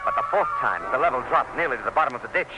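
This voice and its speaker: An actor playing an earnest, anxious commissioner with a solemn, dramatic delivery, as in an old-time radio drama. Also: male voice, mid-range voice, expressive, racing, over loud music, close to an everyday voice, low-quality recording. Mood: tense narration. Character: earnest, anxious commissioner recounting a desperate siege. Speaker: narrator and character.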